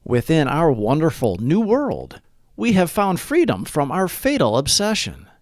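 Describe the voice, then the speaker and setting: Man reading aloud, high-pitched and very dry-sounding. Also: huge pitch swings, measured pace, no room sound. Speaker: narrator of a daily recovery reading. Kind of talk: scripted read-aloud narration.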